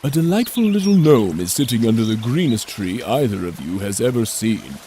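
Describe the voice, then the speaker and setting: A man giving a deadpan, mock-solemn dramatic reading of a comic adventure story. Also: mid-range voice, a little fast, slight background noise. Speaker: narrator.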